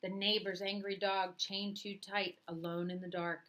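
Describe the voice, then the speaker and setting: A woman reading a picture book aloud as a storyteller, with expressive, deliberate phrasing. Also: mid-range voice, noisy background. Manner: dramatic, deliberate phrasing with acted dialogue. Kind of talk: expressive children's read-aloud narration.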